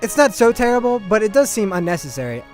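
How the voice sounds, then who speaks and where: This is a man with a distinctly high voice narrating a scripted game review. He leans into sarcasm and overstated complaint, with music playing behind him. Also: sing-song, quick pace, darkish tone. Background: background music.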